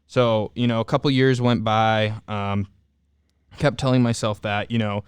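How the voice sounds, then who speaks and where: A young man in casual interview talk, candidly telling his own story with halting restarts and fillers. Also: lowish voice, little pitch movement, clean tone, dry recording, studio quality. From Southern California. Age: young adult. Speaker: returned service missionary guest.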